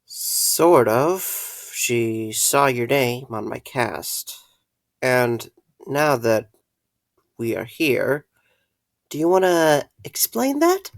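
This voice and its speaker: Casting: young male night guard played by a man, creaky voice, sarcastic, caring, very dry recording. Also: mid-range voice, very animated, drawn-out words, dry recording, exaggerated voice. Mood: awkward. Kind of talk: audio drama acting.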